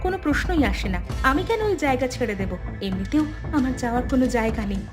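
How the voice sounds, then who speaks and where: A woman as a high-voiced, feisty village wife. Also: very animated, fast, music in the background. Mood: indignant. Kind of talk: cartoon folk-tale acting.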